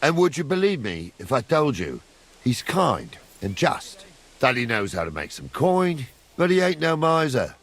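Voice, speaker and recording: An actor playing a gruff, fatherly middle-aged captain of the guard, guarded and plain-spoken, with a husky voice, in a medieval game. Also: medium pitch, very animated, unhurried, a bit bright, exaggerated voice. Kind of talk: video game dialogue acting.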